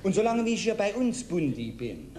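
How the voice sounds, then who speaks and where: An eager fidgety mental patient. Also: male voice, high voice, very animated, normal speed. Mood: rambling. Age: middle-aged. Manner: fidgety, jokey, eager to please, ends barking. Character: anxious eager East German patient in hat. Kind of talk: stage cabaret sketch. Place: theater stage before a live audience. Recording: live theater recording with crowd. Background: audience laughter.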